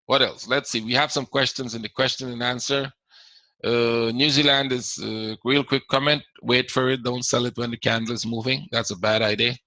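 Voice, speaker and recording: Caribbean-accented male webinar host, bright voice, dry close mic, casual Q&A. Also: medium pitch, steady tone, moderate pace, no room sound. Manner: explains casually, repeats words, reads out viewer questions. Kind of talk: live webinar Q&A.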